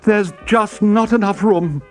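Guy putting on a fussy mama pig voice, pitched up and slow. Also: soft background music. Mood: exasperated. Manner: raised, exasperated crying out. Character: fretful mother pig.

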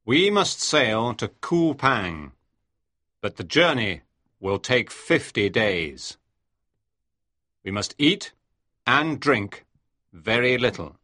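A woman voicing a stern, steady male ship captain in a storybook reading, speaking firmly. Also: a bass voice, slow, long pauses, dry recording, clean studio sound, voice pushed down, heavily acted. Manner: firm, commanding.

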